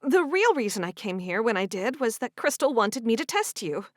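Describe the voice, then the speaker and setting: A woman's voice: big pitch swings, normal speed, dry recording, studio quality. A woman playing a bold, defensive young woman. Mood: nervous. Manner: pleading, high and emphatic.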